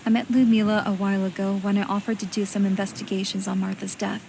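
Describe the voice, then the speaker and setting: An actress playing a stern, composed investigative reporter in a video game, with a controlled, measured, admonishing delivery. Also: female voice, mid-range voice, flat delivery, creaky, noisy background, lightly acted. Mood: composed, grave. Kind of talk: dramatic game voice acting.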